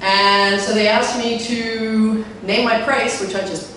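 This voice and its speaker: A middle-aged woman with a deep voice gives a chatty talk on a handheld mic, filling her speech with fillers and putting questions to the audience. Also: steady tone, takes it slowly, fairly bright tone, a little hiss or hum. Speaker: conference speaker. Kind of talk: live tech talk to an audience. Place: large hall with a projector screen. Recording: echoey hall from far off.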